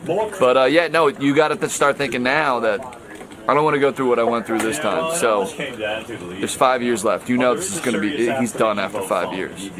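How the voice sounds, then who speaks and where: Male, medium pitch, dry noncommittal casual answers, loud chatter all around. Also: normal speed. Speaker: show staff member being interviewed. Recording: very noisy room, loud background chatter.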